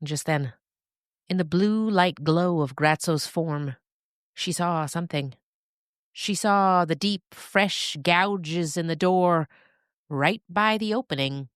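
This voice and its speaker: A woman narrating a scripted story expressively, with dramatic pauses. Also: on the low side, no room sound, clean studio sound.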